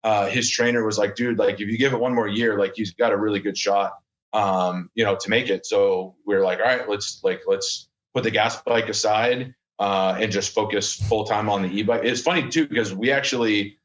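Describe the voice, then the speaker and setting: A man's voice: fairly low pitch, steady tone, moderate pace, lo-fi sound. A man in his thirties, a podcast guest, talking casually and rambling with lots of fillers and restarts. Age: 30s. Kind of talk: casual chat.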